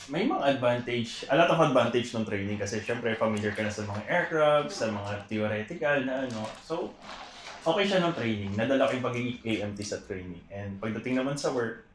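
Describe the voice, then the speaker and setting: A young Filipino man talks casually as a podcast guest, slipping English into his speech. His voice sits at an average pitch. The room is echoey, with faint noise behind him. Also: a little fast. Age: young adult. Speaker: podcast guest, air traffic controller. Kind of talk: casual podcast chat, code-switching.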